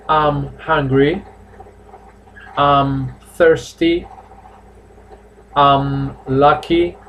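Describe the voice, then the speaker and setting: A man's voice: mid-range voice, a little hiss or hum, low-quality recording. Man in his fifties teaching English. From Spain. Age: 50s. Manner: repeats phrases slowly for drilling, switches between English and Spanish. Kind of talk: live online class, bilingual English and Spanish. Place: small room at home.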